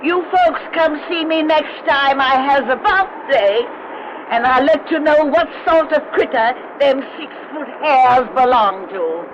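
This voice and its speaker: A woman's voice: high-pitched, lively intonation, a little slow. An actress playing a gleeful old witch who tells horror tales in an old radio show, folksy and cackling. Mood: gleeful, sinister. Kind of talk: 1930s radio horror host acting. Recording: dull, noisy old radio broadcast recording.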